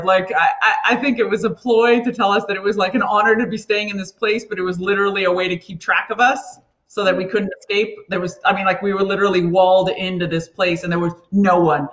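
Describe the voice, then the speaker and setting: A woman's voice: mid-range voice, fairly bright tone, roomy sound. A woman from the United States with an American accent, chatting casually in a wry, self-deprecating way. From United States, grew up in California. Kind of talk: casual chat. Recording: slightly dull lo-fi sound.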